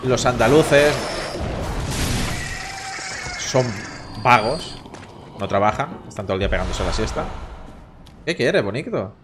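A man in his thirties from Barcelona streams a game from home, and his Spanish accent comes through as he jokes and swears with his chat. His pitch jumps around a lot, and a soft hiss of room noise sits under his voice. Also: animated, measured pace, pauses often. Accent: Castilian Spanish from Barcelona. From Catalonia, Spain. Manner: chatty, swearing, joking with chat. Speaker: gaming streamer. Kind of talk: casual live gameplay commentary.

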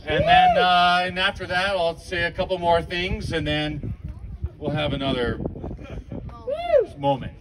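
A middle-aged man with a loud, informal way of talking, emceeing a memorial event on an outdoor stage. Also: sits high, huge pitch swings, measured pace, long pauses. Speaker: ski patrol emcee. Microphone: handheld mic, filmed from the audience. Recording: distant phone capture with background noise.

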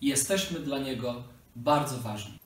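A man's voice: mid-range voice, a little slow. A man in his thirties explaining a religious lesson to children from a sofa in a living room. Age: 30s. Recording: very echoey room, slightly distant mic.

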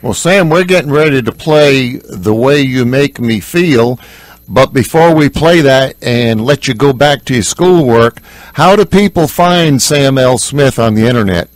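A man hosting a radio interview, asking measured, scripted questions. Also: medium pitch, expressive, dry recording, poor audio. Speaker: radio DJ host.

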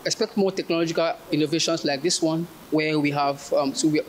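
Hesitant young man, higher-pitched. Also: steady tone, brisk. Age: young adult. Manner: hesitant, repeats himself. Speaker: project manager. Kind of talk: interview soundbite. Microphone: handheld reporter microphone. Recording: faint event background noise.

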